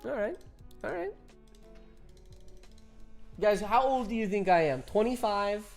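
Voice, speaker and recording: A man's voice: high-pitched, expressive, a little slow, gravelly, soft background music. A man in his twenties chatting casually with viewers while streaming a game, laughing often and reading chat aloud. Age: 20s.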